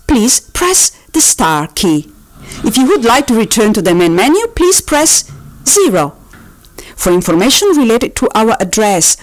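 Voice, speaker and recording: A woman voicing a politely officious automated phone-menu system, reading scripted options formally with drawn-out, sing-song emphasis. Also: on the high side. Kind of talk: recorded phone-menu announcement, scripted parody.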